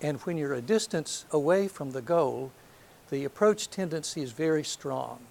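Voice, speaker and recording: An older man from North Alabama with a soft voice, giving a reflective lecture to an audience, hesitant and full of ums, with gentle self-deprecating humor. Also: on the high side, lively intonation, moderate pace, gravelly. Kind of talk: last lecture, reminiscence. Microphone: handheld or podium microphone.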